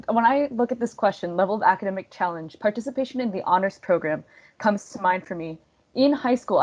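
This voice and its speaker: A woman's voice: mid-range voice, darkish tone. A young woman, a first-year student, giving an articulate, composed panel answer. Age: young adult.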